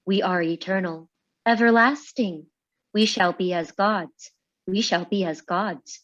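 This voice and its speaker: A woman voicing a megalomaniac smart speaker in an animated comedy, formal and grandiose. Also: mid-range voice, measured pace, muffled recording, close to an everyday voice. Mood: grandiose, menacing. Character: megalomaniac smart speaker declaring itself an eternal god. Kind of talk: animated comedy table read.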